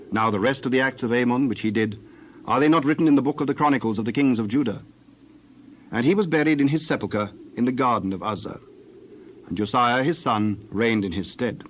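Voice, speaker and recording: A man's voice: mid-range voice, a little hiss or hum. A man giving a scripted narration of scripture as the narrator of a dramatized reading.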